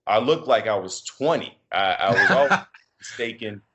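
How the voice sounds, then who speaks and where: A middle-aged man from Chicago with a deep voice, a podcast guest talking in a reflective, halting way with many fillers and restarts. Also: lively intonation, pushed and bright. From Chicago, USA. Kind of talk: casual interview conversation.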